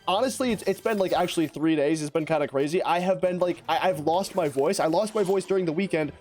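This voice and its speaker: A young man with a raspy, hoarse voice, giving excitable, slangy gameplay commentary as a YouTuber. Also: a little high, soft background music. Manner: excitable, slangy, fast-talking, rambling. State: hoarse from lost voice. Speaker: gaming YouTuber.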